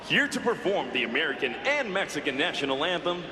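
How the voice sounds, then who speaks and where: A man's voice: a little high, sing-song, fairly quick. A man making formal, emphatic public-address announcements as the announcer of an event ceremony. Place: large outdoor racetrack over loudspeakers. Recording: echoey stadium PA, loud crowd noise.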